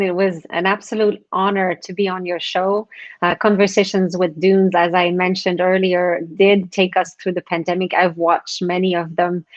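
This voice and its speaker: Woman guest, low voice, earnest motivational tone, slight French background, dull dry call audio. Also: no echo. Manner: earnest, motivational, with many restarts. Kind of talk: live online show conversation.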